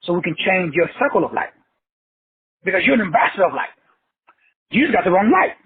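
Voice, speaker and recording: A middle-aged man lecturing a class with fervent, preachy energy and plenty of swearing. His voice sits high and swings widely in pitch, with a very raspy, husky edge. The sound is muffled and lo-fi. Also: very animated, fairly quick. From South Texas. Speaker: motivational teacher.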